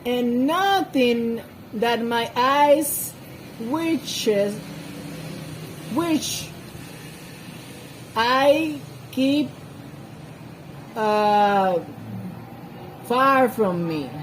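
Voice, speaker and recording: A woman who is not a native English speaker, giving informal Bible commentary with personal stories in a halting way, searching for words. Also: a little high, animated, a little hiss or hum, low-quality recording. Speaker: scripture reader and commentator.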